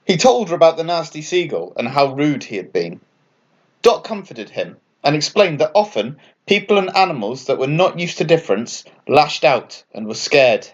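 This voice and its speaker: A man reads a children's bedtime story. His voice is fairly high for a man and very lively in its rise and fall. The recording is slightly dull. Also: moderate pace. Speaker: author and storyteller.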